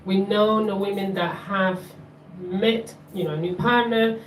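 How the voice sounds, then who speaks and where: A woman from Bolivia speaking up from the audience at an open panel, in an earnest, measured, slightly halting way. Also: medium pitch, steady tone, a little hiss or hum. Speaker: audience member. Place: community event room. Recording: echoey room, distant mic.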